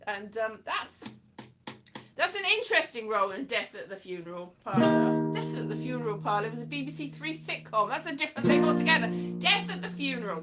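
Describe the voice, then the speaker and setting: Rambling, chatty woman streaming. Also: high-pitched. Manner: rambling, chatty, breaks into sing-song and giggles. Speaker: livestream host. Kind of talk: casual solo livestream chat. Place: at home. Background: guitar music.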